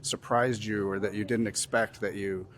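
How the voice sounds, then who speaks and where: A man's voice: lowish voice, fairly even intonation, normal speed, a bit bright, slight background noise. A man hosting a conversational interview, putting questions to a guest. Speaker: interviewer, show host.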